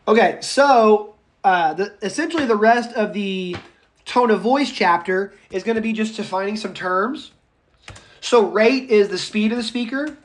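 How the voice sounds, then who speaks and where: A raspy-voiced male public speaking instructor lecturing a live online class, speaking loudly without a microphone, chatty and prone to tangents. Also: high voice. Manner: speaks loudly, projecting as if shouting; enthusiastic, goes off on tangents and laughs. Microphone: no microphone, voice caught by the room recorder.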